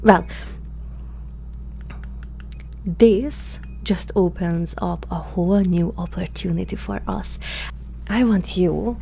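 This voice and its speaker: A woman playing a curious, awkward alien scientist in human form, speaking hesitantly and softly to the listener in an ASMR roleplay. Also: on the low side, vocal fry. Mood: curious, admiring. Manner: hesitant, halting, softly spoken, sometimes whispering. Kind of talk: ASMR roleplay, one-sided dialogue to the listener. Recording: faint background hum and noise.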